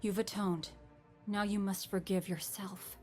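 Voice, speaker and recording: Actress doing weary young warrior woman, video game, guilty but defiant, somber and measured, music underneath. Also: mid-range voice, level tone, a little mellow, natural voice. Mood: weary, regretful.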